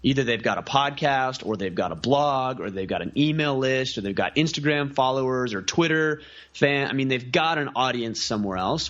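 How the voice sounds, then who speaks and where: A man's voice: mid-range voice, fairly bright tone, dull audio. A man talking as a podcast guest in a casual interview, earnest and piling up lists of examples. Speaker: podcast guest, community founder.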